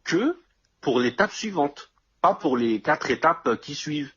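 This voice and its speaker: Man, heavily rough and husky voice, explanatory conversational delivery, muffled low-quality recording. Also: animated, unhurried, fairly bright tone. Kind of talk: casual two-person discussion. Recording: dull lo-fi audio.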